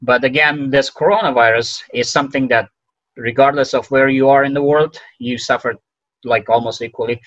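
A man whose first language is Farsi, speaking as a physician guest in an interview, halting and full of fillers and restarts. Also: medium pitch, steady tone, a bit bright, no room sound, muffled recording. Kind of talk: conversational interview.

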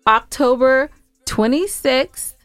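Higher-pitched woman, emphatic playful podcast banter. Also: takes it slowly, fairly bright tone, no room sound, soft background music. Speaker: podcast co-host. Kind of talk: casual bantering chat with husband.

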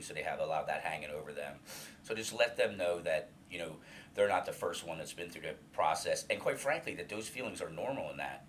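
Guest, male, 50s, very low voice, earnest, halting delivery, faint hiss behind. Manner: open, earnest, hesitant with many restarts and fillers; loud voice. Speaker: alumni coordinator, guest. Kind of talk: candid interview about his recovery.

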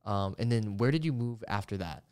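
A man's voice: lowish voice, animated, fairly quick, darkish tone, clean tone. Stammering, enthusiastic man hosting a podcast. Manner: stammers, repeating sounds and syllables. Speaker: podcast host interviewing a guest. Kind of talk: casual conversational interview.

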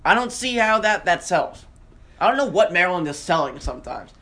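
A man's voice: high voice, big pitch swings, fairly quick, pushed and bright. An American man co-hosting a sports podcast, arguing his points emphatically and repeating phrases to drive them home in casual debate.

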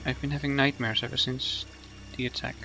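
Male actor as anxious modest visitor, gravelly fry, medium pitch, audio drama, clear music behind. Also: flat delivery, brisk, bright, forceful tone. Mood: sheepish, confessing. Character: anxious, modest male island visitor facing his fears. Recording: prominent music under the dialogue.

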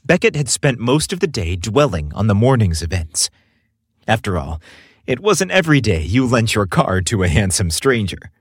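Low, husky male storyteller with lively delivery. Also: normal speed, dry recording, studio quality. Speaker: story narrator. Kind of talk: scripted romance fiction narration.

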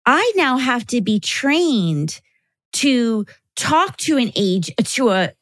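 A woman hosts a podcast interview, speaking slowly with thoughtful pauses. Her pitch sits in the middle, and the sound is dry and close. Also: big pitch swings, no room sound. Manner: measured, warm affirmations, thoughtful pauses. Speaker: host.

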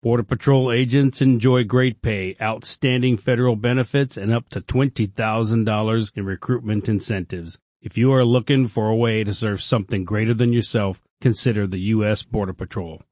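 A man's voice: on the low side, fairly even intonation, normal speed, few pauses, a little mellow, no echo. A man narrating a modern scripted recruitment advertisement.

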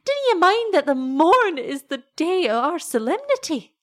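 A woman's voice: very high voice, very animated, moderate pace, voice pushed up. A woman voicing an anxious, scolding old shepherd's wife in a story, with a Scottish accent and fretful, exclamatory speech. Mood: alarmed, scolding.

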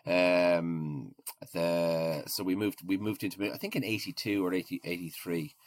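A man with an Irish accent speaks in a fairly low voice, recorded close and dry. He talks casually as an interviewee, feeling his way through answers with hesitant, doubled-up words. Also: steady tone, dry recording. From Ireland. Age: 40s. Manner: hesitant, halting, repeats words. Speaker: interview guest, restaurateur.

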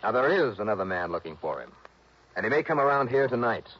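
An actor playing a brisk, tough, insistent investigator in a 1960s radio drama, clipped and urgent in dialogue and narration. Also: male voice, on the low side, very animated. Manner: clipped, urgent, hard-boiled. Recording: dull, noisy old radio recording.

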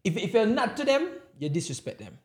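Male, Jamaican accent, distinctly high pitch, casual conversation with emphatic, repetitive delivery. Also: very animated, brisk. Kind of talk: casual chat.

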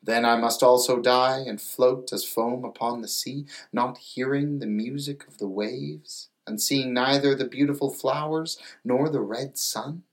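A man's voice: medium pitch, fairly even intonation, a little slow, few pauses, natural voice, rough recording. A man voicing a wistful, yearning young mermaid girl in a storybook, with an earnest, pleading manner. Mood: sad, pleading.

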